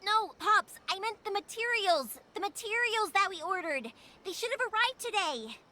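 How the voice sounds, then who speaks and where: A bubbly young fireworks maker. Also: female voice, very high-pitched, normal speed, a little hiss or hum, exaggerated voice. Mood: insistent. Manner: energetic, chatty, patient with her father. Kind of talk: video game voice acting. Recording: game cutscene with music behind.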